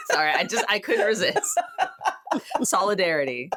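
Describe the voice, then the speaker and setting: A woman introducing herself casually and haltingly as a player on a roleplaying show. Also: mid-range voice, sing-song, fairly bright tone, no echo, clean studio sound. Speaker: player on an actual play show.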